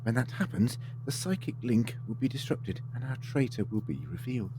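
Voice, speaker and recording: A man's voice: mid-range voice, lively intonation, fairly quick, close to an everyday voice. A man acting in an audio drama as a resolute, improvising time-travelling hero, by turns hesitant and decisive. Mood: tense, resolute. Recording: clear background noise.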